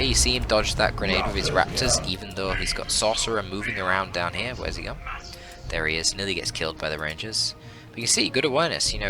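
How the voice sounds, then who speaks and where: A man giving live, analytical and conversational commentary on a strategy game, as a shoutcaster does. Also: low-pitched. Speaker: esports shoutcaster. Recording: voice over loud game audio. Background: loud game music and battle sounds.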